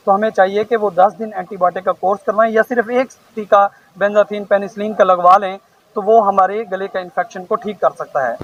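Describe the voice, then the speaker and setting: A man, a children's heart doctor, calmly explains medical facts outdoors at an awareness walk. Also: high-pitched, slight background noise. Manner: calm, explanatory, lists medical terms. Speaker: pediatric cardiologist. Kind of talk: explaining to a news reporter. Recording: dull lo-fi news field audio.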